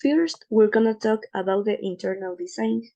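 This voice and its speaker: Gravelly-voiced woman presenting slides. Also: mid-range voice, dark tone, highs cut off. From Ecuador. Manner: careful, slightly halting non-native English with fillers. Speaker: student presenter.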